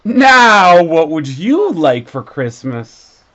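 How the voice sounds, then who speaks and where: A man voicing a grand, jolly old Father Christmas figure from a children's storybook, declaiming loudly. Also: on the high side, sing-song, extremely slow, exaggerated voice. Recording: dull, lo-fi recording.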